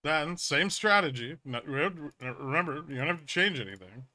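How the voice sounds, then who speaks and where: A man's voice: on the high side, expressive, moderate pace, bright, forceful tone. A man hosting a casual livestream, explaining things bluntly and emphatically with some swearing and answering viewers as an educator. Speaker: livestream host, trading educator.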